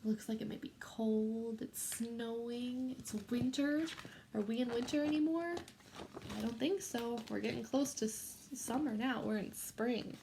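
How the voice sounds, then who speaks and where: A woman reading a picture book aloud to young children in an expressive way, pausing to ask them questions. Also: fairly high pitch, creaky, noisy background. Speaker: children's storybook read-aloud host.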